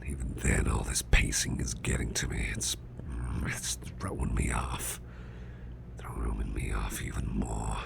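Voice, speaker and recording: Casting: male actor as grumpy, fretful boss for ASMR roleplay, rock-bottom growly half-whisper, slow halting mutter, repeats himself. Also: fairly even intonation, full character voice. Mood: fretful, rambling. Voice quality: gravelly, growly, very deep, breathy half-whisper. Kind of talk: ASMR roleplay, spoken softly to the listener.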